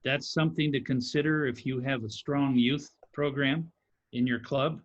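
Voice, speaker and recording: A man's voice: medium pitch, steady tone, dull audio. A former district governor who grew up in Mexico with Spanish as his first language, answering on a video call with many fillers and a cracking voice. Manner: halting, many fillers and restarts.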